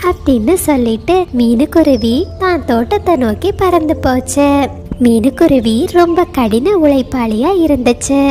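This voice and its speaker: High, mellow woman narrating a cartoon. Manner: steady, even storytelling. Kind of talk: scripted storybook narration.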